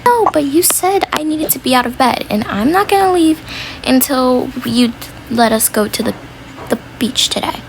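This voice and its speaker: A woman voicing a whiny, stubborn young daughter who pleads excitedly in an amateur family role play. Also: sits high, gravelly. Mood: pleading. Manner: whining, drawn-out pleading. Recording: noisy home recording.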